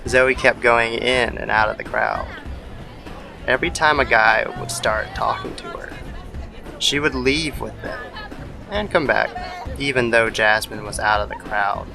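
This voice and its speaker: A man's voice: mid-range voice, measured pace, creaky, music in the background, a crowd in the background. A man narrating a scripted horror story as an old-time radio style storyteller.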